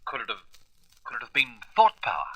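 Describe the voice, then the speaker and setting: A man playing a steady, commanding space hero and crew leader in a radio drama, deliberate and authoritative. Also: medium pitch, slight background noise, poor audio. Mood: pondering.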